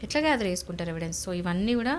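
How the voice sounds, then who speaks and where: A woman's voice: lowish voice, animated, very fast, slight background noise. A woman with a Telangana Telugu accent explaining legal advice conversationally as an expert, emphatic, repeating points and asking rhetorical questions. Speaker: lawyer, guest legal expert.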